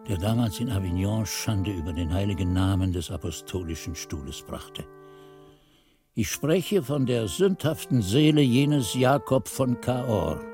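An actor playing an aged, frail monk who solemnly recounts his youth in a radio drama, husky and measured, with phrases falling away to a hush. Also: lowish voice. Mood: grave, reproachful. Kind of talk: radio drama narration, archaic literary chronicle.

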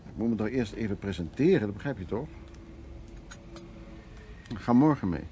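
An actor playing a gruff, stubborn art restorer in his sixties in a radio play, talking in a casual, halting, naturalistic way. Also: fairly low pitch, lively intonation, dark tone, a little hiss or hum, close to an everyday voice. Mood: mildly impatient. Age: 60s. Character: sixty-year-old male art restorer, gruff, stubborn, reflective.

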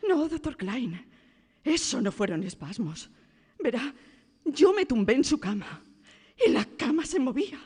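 Husky, emotional mother. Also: high-pitched, big pitch swings, unhurried, pauses often, darkish tone, full character voice. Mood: distressed. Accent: Castilian Spanish. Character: anguished, desperate mother of a sick girl. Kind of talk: radio drama acting. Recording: reverberant radio drama with music behind.

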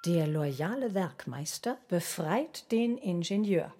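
A woman's voice: lowish voice, expressive, slow pace. A woman narrating a scripted documentary radio play, reading a synopsis in short declarative sentences. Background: music behind the voice.

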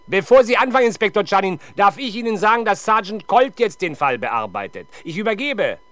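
An actor playing a world-weary, dutiful police inspector in a 1970s German radio crime drama, formal and halting in manner. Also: male voice, high-pitched, very animated, a bit bright, dry recording. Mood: hearty, interrupting. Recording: 1970s radio drama, clear background noise.

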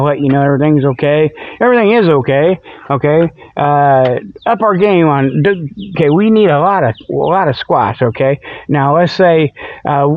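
A man's voice: fairly high pitch, no room sound. A middle-aged American man hosting a comic show, rambling off the cuff in a folksy, repetitive, colloquial way. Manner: folksy, colloquial, repeats himself, loses his thread. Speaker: host of a comedic radio-style show. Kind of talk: rambling, improvised comic monologue. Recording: dull lo-fi radio-like sound.

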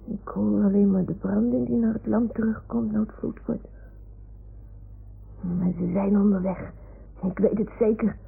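A woman's voice: mid-range voice, fairly even intonation, normal speed, gravelly, long pauses, breathy edge, full character voice. A woman playing an imaginative, earnest young boy who repeats grown-ups' words to his toy animals in a radio play. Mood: earnest, pondering. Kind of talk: 1960s Dutch radio play acting. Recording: old radio recording, phone-like band, noise.

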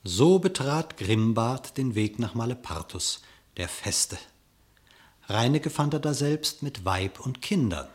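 A man narrating a verse animal fable for radio, declaiming in an expressive, theatrical way. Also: medium pitch, slow.

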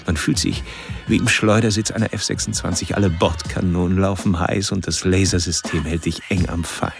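Deep, gravelly cold-blooded killer. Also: male voice, fairly even intonation. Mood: confiding, wry. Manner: deliberate, confiding, coolly pompous. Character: cold-blooded philosophizing male serial killer, narrator. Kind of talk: scripted radio crime drama monologue. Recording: music under the voice.

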